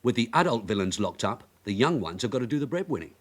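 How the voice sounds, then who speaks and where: Veteran DS, radio drama: shrewd, colloquial, probing, very varied intonation. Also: male voice, medium pitch, big pitch swings, fast talker. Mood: brisk, confident. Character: shrewd veteran London police detective sergeant, persistent, coaxing. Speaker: actor in a radio crime drama. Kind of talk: naturalistic radio drama acting.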